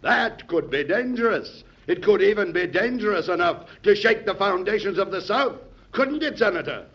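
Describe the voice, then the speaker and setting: A grave and resolute senator, with a fairly high male voice. He delivers his lines in a formal, oratorical way, as in vintage radio drama, on a slightly dull recording. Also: expressive, normal speed, a bit bright, breathy edge, exaggerated voice. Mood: challenging. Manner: declamatory, formal. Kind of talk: old-time radio drama acting.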